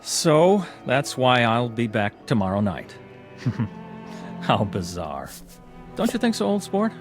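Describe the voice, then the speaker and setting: A proud gentleman of the club in an audio drama. His mid-pitched voice moves expressively through wordy, wryly bitter lines, with a touch of music underneath. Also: very animated, slow pace. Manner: formal, theatrical, wordy. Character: proud, debt-ridden clubman, wryly bitter and defiant. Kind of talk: modern audio drama acting.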